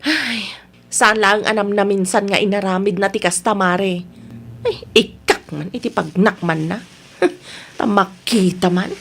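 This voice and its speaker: A woman's voice: medium pitch, unhurried, fairly bright tone. A woman with an Ilocano accent playing an aggrieved, frustrated married daughter in a radio comedy-drama, emotive and full of complaint. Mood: dejected.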